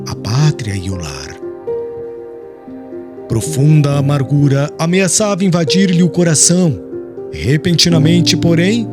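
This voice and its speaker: Expressive higher-voiced male audiobook narrator. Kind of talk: dramatic scripted narration of a religious novel.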